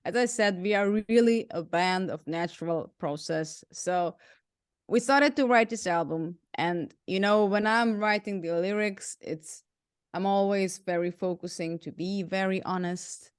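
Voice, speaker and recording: A woman's voice: lowish voice, unhurried, clean tone, no echo, studio quality. A woman from Hungary with an English accent mixed from Hungarian and German, chatting as an interview guest, laughing often and saying "like" a lot. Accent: mix of Hungarian and German. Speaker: guest musician. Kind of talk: casual interview chat.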